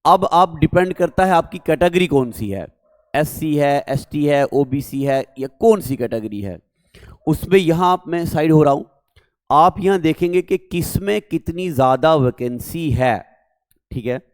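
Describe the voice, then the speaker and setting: Man with a sore throat, slow teacher. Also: a little high, animated, no echo. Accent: North Indian Hindi. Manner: slow, deliberate, addresses listeners as dear children. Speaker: coaching institute teacher. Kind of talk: exam information lesson to students.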